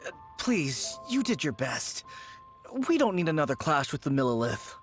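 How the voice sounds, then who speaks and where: A woman's voice: low voice, very animated, normal speed, over music. A woman voicing a polite, hesitant assistant at a funeral parlor in a game. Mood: meek.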